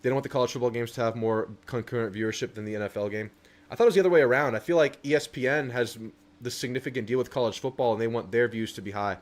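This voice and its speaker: A man's voice: mid-range voice, very fast. A young man hosting a casual live stream, reading viewer messages aloud and answering them. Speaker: livestream host.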